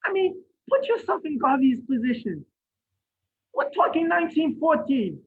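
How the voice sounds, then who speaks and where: A Haitian-born man giving an impassioned lecture over a video call, asking rhetorical questions and rising to near shouts. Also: extremely high pitch, sing-song, moderate pace, gravelly. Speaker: guest speaker. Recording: phone-like line from an online event.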